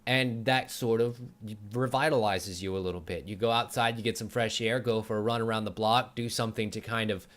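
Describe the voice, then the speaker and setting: A man's voice: lowish voice, fairly even intonation, a little fast, few pauses, fairly bright tone. A man hosting a casual conversational interview, talking in an encouraging, reassuring and jokey manner. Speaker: interview show host.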